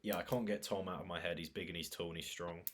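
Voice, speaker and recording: A man's voice: low voice, fairly even intonation, quick pace, a bit bright. A man, co-hosting a podcast and joking through casual banter. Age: 20s. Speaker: podcast co-host. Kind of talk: casual chat.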